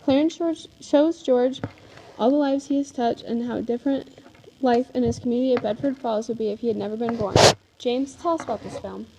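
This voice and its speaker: A woman's voice: fairly high pitch, a little fast. A woman presenting an amateur 1940s-style radio show, reading her script aloud. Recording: dull recording with faint noise.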